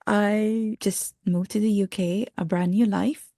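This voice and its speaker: A woman's voice: mid-range voice, steady tone, unhurried, warm tone, no room sound, studio quality. A woman in her thirties from Pakistan with a Pakistani accent, a non-native English speaker telling her story as a friendly podcast guest who laughs often. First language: not English. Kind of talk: podcast interview.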